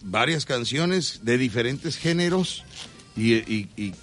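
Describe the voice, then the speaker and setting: Teasing Mexican radio host. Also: male voice, mid-range voice, lively intonation, slow pace, a bit bright, faint music behind. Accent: Mexican Spanish. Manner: teasing and jokey, often laughing mid-sentence. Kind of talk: joking live radio banter. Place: radio studio.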